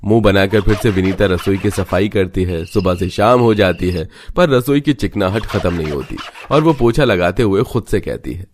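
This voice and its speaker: A man's voice: deep voice, animated, moderate pace, hardly any pauses, slight background noise. A man reading scripted narration for a cartoon family story as its narrator.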